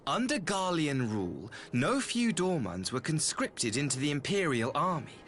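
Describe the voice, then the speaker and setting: An actor playing a courteous, calculating imperial ambassador in a video game, speaking with formal, eloquent, theatrical archaic diction. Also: male voice, on the high side, big pitch swings, moderate pace, a bit bright. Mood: measured, diplomatic. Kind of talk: scripted video game voice acting. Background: background music.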